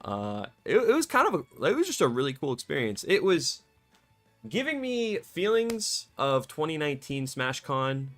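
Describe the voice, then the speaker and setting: A male streamer chatting casually and excitably on a live stream, his voice a bit scratchy from cheering, in a warm home room. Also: fairly high pitch, moderate pace, soft background music. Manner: excitable, slangy, rambling.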